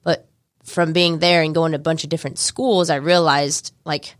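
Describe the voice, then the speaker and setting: A young woman with a low, Southern American voice tells stories in a quick, chatty way. She is a radio interview guest in a dry studio, laughing easily between thoughts. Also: steady tone, dry recording. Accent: American, Georgia. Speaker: martial arts studio owner, guest. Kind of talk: casual interview chat.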